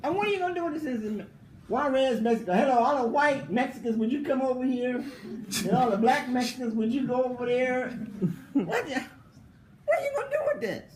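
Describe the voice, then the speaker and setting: A man speaking as a philosophy professor, his pitch jumping all over as he fires off mocking rhetorical questions. He laughs easily and hammers his points home by repeating them. A faint hiss sits under the voice. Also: animated, a little fast, a little mellow, rough recording. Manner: emphatic, repeats points for stress. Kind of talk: conversational interview answer.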